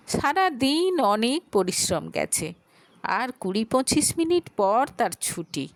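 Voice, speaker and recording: A woman narrating an audio story in an expressive, dramatic way. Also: fairly high pitch, no room sound.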